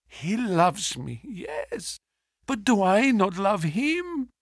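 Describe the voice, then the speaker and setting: A man voicing a distraught young wife, speaking in a high, breathy, trembling plea. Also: big pitch swings, drawn-out words, no echo, clean studio sound, exaggerated voice. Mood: anguished.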